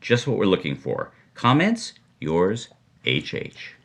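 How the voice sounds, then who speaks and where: Audio drama: agitated memo dictator, lowish voice, telegraphic and insistent delivery. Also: male voice, huge pitch swings, slow pace, creaky, fairly bright tone, heavily acted. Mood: insistent, scheming. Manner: clipped, telegraphic, emphatic. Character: agitated man dictating a clipped, urgent office memo. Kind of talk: scripted audio drama reading.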